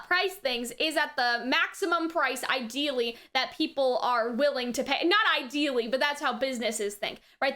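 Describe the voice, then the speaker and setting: Woman, high-pitched, bright forceful tone, casual slangy reaction commentary, chatty. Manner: chatty, slangy, frequent "like" and tag questions. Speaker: anime reviewer.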